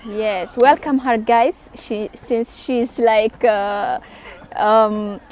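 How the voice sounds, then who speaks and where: A woman's voice: a little high, steady tone, drawn-out words, darkish tone, a little hiss or hum. A woman hosting a casual, chatty interview in a bubbly, encouraging way. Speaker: interviewer, host.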